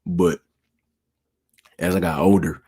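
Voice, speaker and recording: A young man hosting his channel, giving casual advice to viewers in a laid-back way, with a low, monotone, raspy voice. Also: slow. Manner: laid-back, peppered with "you feel me", talks with his hands. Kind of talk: casual advice talk answering viewer questions.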